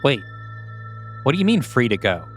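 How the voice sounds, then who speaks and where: A man's voice: mid-range voice, very animated, normal speed, soft background music, natural voice. A man playing a skeptical, anxious young tech engineer in an audio drama, casual and puzzled. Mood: confused, earnest. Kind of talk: audio drama table-read acting.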